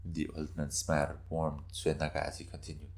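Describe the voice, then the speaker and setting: A man's voice: extremely low pitch, monotone, brisk, vocal fry, darkish tone. A man reading scripted story narration in a dramatic style.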